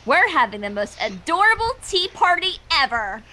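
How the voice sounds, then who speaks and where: A woman's voice: high-pitched, big pitch swings, moderate pace, bright, forceful tone, exaggerated voice. A woman voicing a prim, motherly young unicorn pony with a politely scolding manner in a fan fiction reading. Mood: sternly scheming. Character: motherly young adult female unicorn pony, prim, embarrassed, mock-villainous.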